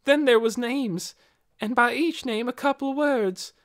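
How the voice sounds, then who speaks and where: Woman acting a high-pitched, tearful, pleading teenage girl. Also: a little slow, lightly acted. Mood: remorseful. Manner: plaintive, uneducated rural grammar.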